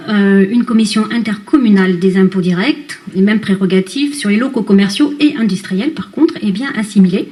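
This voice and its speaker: A woman speaking as a local council official, explaining procedural items in a formal but unscripted meeting style. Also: a little high.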